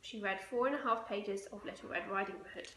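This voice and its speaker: A woman's voice: mid-range voice, brisk, bright, forceful tone. A woman storyteller reading a children's picture book aloud. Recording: echoey room, faint noise.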